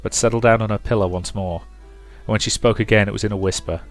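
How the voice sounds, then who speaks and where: A man's voice: fairly low pitch, steady tone, quick pace, dry recording, soft background music. A man reading a visual novel aloud as dramatic storybook narration for a let's play.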